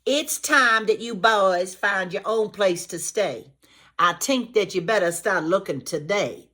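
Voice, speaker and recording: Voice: mid-range voice, expressive, measured pace, bright, forceful tone, voice pushed up. A reader voicing a mother pig in a read-aloud storybook, firm and sing-song, in a put-on Cajun lilt. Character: bossy mother pig sending her sons off.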